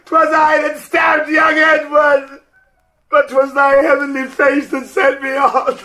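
A man's voice: extremely high pitch, bright, forceful tone, full character voice. An actor playing a scheming, silver-tongued nobleman who fervently woos a widow in theatrical Shakespearean verse. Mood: frenzied, pleading. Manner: theatrical, declamatory, insinuating. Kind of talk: classical Shakespearean stage-style verse acting.

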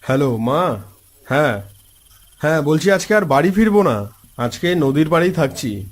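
A man voicing an easygoing young village host in a cartoon story, animated and conversational. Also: fairly high pitch, normal speed. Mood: reassuring. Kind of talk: cartoon story dialogue, casual.

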